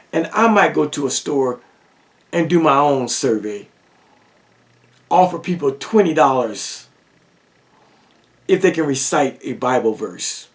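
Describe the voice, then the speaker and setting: Slow, gravelly high-voiced man lecturing. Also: distant mic. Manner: emphatic, rhetorical questions, repetition for effect. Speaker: religious teacher. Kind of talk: lecture-style monologue.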